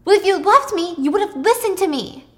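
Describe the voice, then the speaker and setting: A woman's voice: on the high side, quick pace, a bit bright, natural voice. A woman voicing an upset, accusing young boyfriend in a dramatic spoken story, stammering and exclaiming. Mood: furious.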